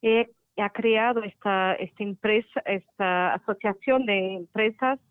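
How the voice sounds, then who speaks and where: A Portuguese woman speaks as a guest expert, at a middle pitch and with a Portuguese accent. She talks carefully and stops to search for words. Her voice comes through a dry, narrow, phone-like line. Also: fairly even intonation, measured pace, smooth, dry recording. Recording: dry, tinny phone-like call.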